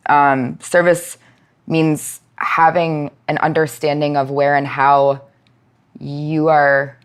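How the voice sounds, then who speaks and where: A woman speaking as a podcast guest, giving thoughtful conversational answers and pausing mid-thought as she reflects. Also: deep voice, flat delivery, smooth. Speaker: podcast guest, nonprofit outreach and education manager.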